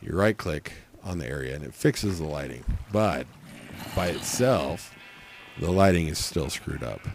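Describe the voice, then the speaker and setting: A man with a cold, hoarse and raspy, rambling through casual gameplay commentary with lots of fillers. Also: low-pitched, noisy background. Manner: rambling, thinking aloud with many fillers. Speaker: let's play host.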